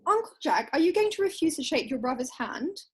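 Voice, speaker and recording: A woman's voice: high voice, very animated, brisk. A woman playing a sweet, bossy young Victorian girl, effusive and coaxing, in an amateur play reading. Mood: pleading, insistent. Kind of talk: amateur play read-through.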